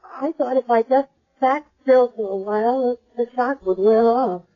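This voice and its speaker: An actress playing a timid, bewildered young woman with amnesia in a 1970s radio drama, speaking in a halting, hesitant way. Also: a little high. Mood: confused, anxious. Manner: hesitant, halting, repeating words. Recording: dull lo-fi old radio broadcast.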